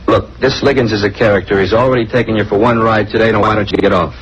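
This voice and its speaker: Casting: man to play surly American barkeep, sarcastic wisecracks, quick and lowish voice, old radio drama. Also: steady tone, highs cut off, lightly acted. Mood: evasive, grudging. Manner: wisecracking, exasperated, slangy. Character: grumbling, sarcastic male cafe bartender, uncooperative. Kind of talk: 1950s radio crime drama acting. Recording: noisy old radio recording.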